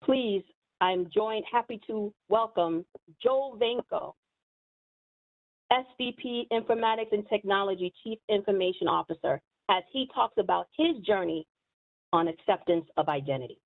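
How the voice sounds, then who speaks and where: A woman hosting an event and introducing speakers with earnest, deliberate prepared remarks. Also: medium pitch. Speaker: event host. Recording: tinny phone-like sound.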